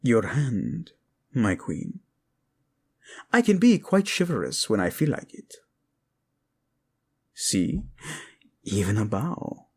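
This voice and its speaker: Man playing a slow-spoken romantic king, Spanish accent. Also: lowish voice, wildly swooping pitch, creaky, a little mellow, breathy edge, exaggerated voice. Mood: playful, tender. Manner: tender, halting, dramatic, sprinkles in endearments. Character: protective young king, tender, lovesick, proud, playful romantic. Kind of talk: intimate romantic audio drama acting addressed to listener.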